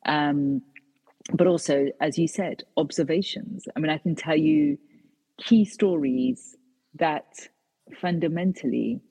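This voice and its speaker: Breathy British woman, hesitant. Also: sits low, takes it slowly, mellow, long reverb tail, low-quality recording. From Britain. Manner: hesitant, many fillers and restarts. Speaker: author and guest. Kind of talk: conversational interview answers.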